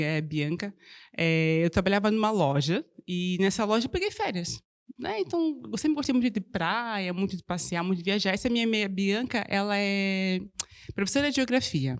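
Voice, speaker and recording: A woman in her forties, raised in Portugal, talks as a guest in a studio interview. She speaks in a chatty, easygoing way and keeps checking in with her listener through little tag questions. Her pitch sits in the middle range. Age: 40s. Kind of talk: casual interview talk.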